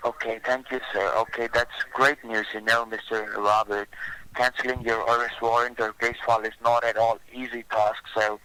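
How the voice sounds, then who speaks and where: Male phone scammer, bright forceful tone, flat intonation, formal and deferential. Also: mid-range voice, normal speed, smooth, low-quality recording. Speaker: phone scammer posing as a government officer. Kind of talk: scripted phone pitch with improvised replies.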